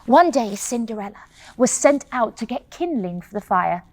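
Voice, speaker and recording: A woman telling a children's bedtime fairy tale in an expressive, playful way, laughing often. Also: on the high side, normal speed, no echo. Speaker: storyteller. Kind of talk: children's bedtime story reading.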